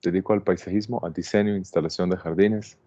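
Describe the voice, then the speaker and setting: A man with an Israeli accent and a very deep, low voice opens an online plant workshop. He speaks calmly and haltingly, with many fillers and restarts. The sound is dry and a bit muffled, like a computer mic on a video call. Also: no echo. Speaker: landscape designer teaching a plant workshop.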